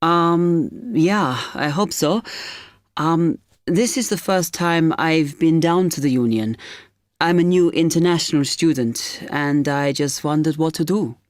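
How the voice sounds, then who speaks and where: A man's voice: fairly high pitch, measured pace, dry recording, clean studio sound, natural voice. An actor playing a young male postgraduate student whose first language is German, asking hesitant, polite questions in a scripted exam dialogue. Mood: unsure. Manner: hesitant, polite, many ums. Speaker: new international postgraduate student.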